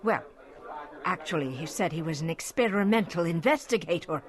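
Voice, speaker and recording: An actress playing a fussy, bossy, gossipy village innkeeper in an audio drama, with a scolding, emphatic manner. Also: female voice, lowish voice, lively intonation, normal speed, a faint crowd, close to an everyday voice. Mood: dismissive, gossipy.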